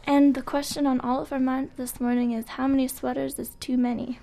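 High, creaky-voiced woman on radio. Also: little pitch movement, a little fast, mellow. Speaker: radio show co-host. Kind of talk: casual morning radio banter.

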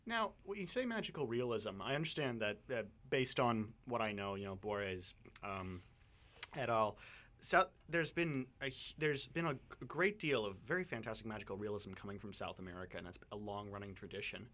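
A man's voice: fairly low pitch, normal speed, fairly bright tone. A man co-hosting a radio show, asking casual, wordy, meandering questions. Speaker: radio co-host. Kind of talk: casual interview chat. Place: radio studio.

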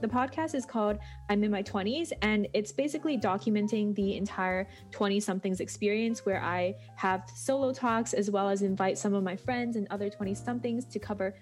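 A woman's voice: medium pitch, brisk, hardly any pauses, darkish tone, soft background music. A woman in her early twenties, a student podcaster, telling her story in a chatty, upbeat way on a panel. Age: 20s. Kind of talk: casual panel conversation.

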